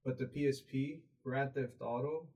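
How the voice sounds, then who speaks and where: A man's voice: medium pitch, steady tone, moderate pace, a little mellow. A young man raised in Mexico, a podcast guest chatting casually, rambling with many fillers and restarts. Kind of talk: casual interview chat.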